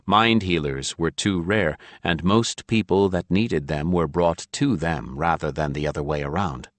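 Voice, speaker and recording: A man's voice: sits low, unhurried, no echo. A man reading a fantasy novel as a scripted audiobook narration.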